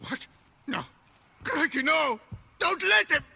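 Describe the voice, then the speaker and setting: Cowardly bandit in a fantasy game, really high harsh raspy voice, rough and agitated. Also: male voice, very animated, takes it slowly, bright, forceful tone, slight background noise, exaggerated voice. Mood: panicked pleading. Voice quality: rough, strained. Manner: crude, agitated. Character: captured male bandit, defiant then cowardly, pleading. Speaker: voice actor in a game. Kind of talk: video game cutscene acting.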